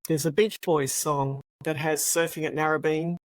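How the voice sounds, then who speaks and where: An Australian woman in her sixties, a retired police officer telling her story as an interview guest in a relaxed, reflective way. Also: sits low, moderate pace, dry recording. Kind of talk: interview conversation.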